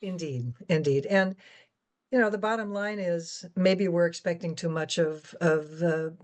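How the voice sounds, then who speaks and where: An older American woman moderating a discussion in a measured, articulate way with fairly formal wording. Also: lowish voice. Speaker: guest moderator.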